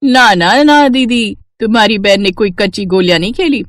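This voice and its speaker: Bold young heroine, played by a woman, poor but ambitious and insistent, cartoon romance, medium pitch. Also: normal speed, no echo, studio quality, lightly acted. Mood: defiant, proud.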